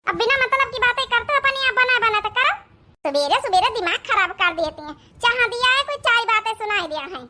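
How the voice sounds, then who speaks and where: A woman acts out a cheeky middle daughter-in-law from a village comedy cartoon. Her voice is extremely high and bright, with an Awadhi accent. She talks back in a brisk, bickering, scheming way. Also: expressive, exaggerated voice. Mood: defiant.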